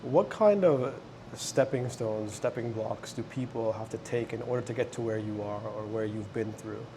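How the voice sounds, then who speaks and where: A man's voice: mid-range voice, moderate pace, noisy background. A man hosting an interview, asking curious, conversational questions. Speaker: interview host. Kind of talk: casual interview.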